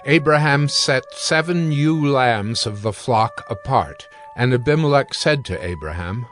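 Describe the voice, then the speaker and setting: A man narrating scripture from a script in a formal, measured way. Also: medium pitch, lively intonation, fairly bright tone. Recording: background music under the voice.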